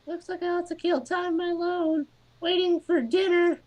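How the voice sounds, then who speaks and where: Very squeaky, excitable narrator girl. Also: normal speed. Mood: earnest musing. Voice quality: very high-pitched, silly put-on voice. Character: excitable, pizza-obsessed narrator with a silly high voice. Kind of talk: silly character read-aloud of a visual novel.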